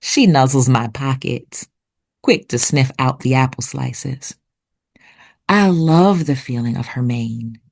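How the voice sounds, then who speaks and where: A woman narrating for children like a teacher, with deliberate, expressive enunciation. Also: very deep voice, creaky. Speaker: read-aloud host and narrator. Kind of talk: children's picture book read-aloud with vocabulary teaching.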